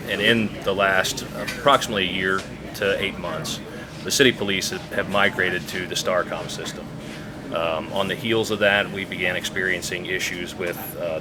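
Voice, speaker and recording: A man giving unscripted interview answers as an official, explaining things in a halting, measured way. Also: deep voice. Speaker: public safety radio official being interviewed.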